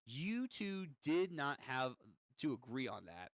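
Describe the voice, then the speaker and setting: A man's voice: mid-range voice, sing-song, slow, dry recording. Comic-reading role: man as a little inner-demon gremlin, cocky and conniving, stretching words out tauntingly in a dry space. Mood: grudging, scornful.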